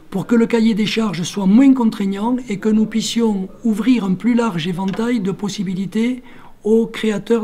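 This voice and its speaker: Male public official, high voice with a mellow, dark edge, reading a formal address. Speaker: local elected official giving a speech. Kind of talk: formal prepared speech, read out.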